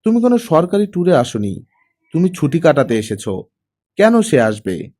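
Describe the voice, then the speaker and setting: A man's voice: fairly high pitch, very animated, moderate pace, dark tone. A man voicing a stern wife in an audiobook drama, speaking in a cold, scolding, cutting manner. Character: stern, sharp-tongued wife of a retired officer.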